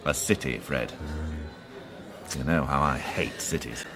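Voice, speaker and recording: An actor in a radio drama playing a wry, world-weary, pedantic police inspector. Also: male voice, rumbling low, measured pace, music in the background. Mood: wry, reflective.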